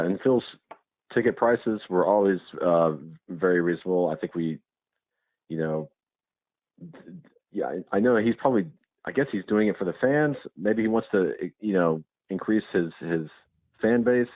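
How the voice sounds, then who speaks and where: Low-voiced man on a muffled phone line. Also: moderate pace, pauses often, no echo. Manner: hesitant, rambling questions. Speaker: fan interviewing a musician. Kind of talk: casual phone interview.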